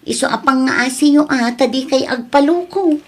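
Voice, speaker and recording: Protective adult daughter, audio drama, acted by a woman: fairly high voice, bossy, exasperated, given to scolding. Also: moderate pace. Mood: firm, admonishing.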